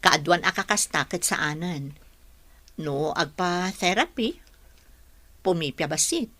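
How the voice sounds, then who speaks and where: A woman's voice: lowish voice, a little slow, fairly bright tone. An actress playing a frank, sympathetic woman confidante in a radio drama, matter-of-fact, with a Filipino accent. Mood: frank, concerned. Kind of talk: Ilocano radio drama acting.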